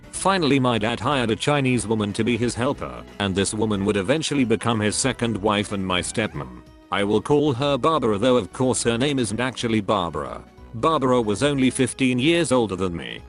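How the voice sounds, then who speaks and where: A text-to-speech male voice with a somewhat low pitch narrates forum posts in a flat, mechanical read. It spells out symbols word for word. Faint music plays quietly underneath. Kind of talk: reading forum stories aloud.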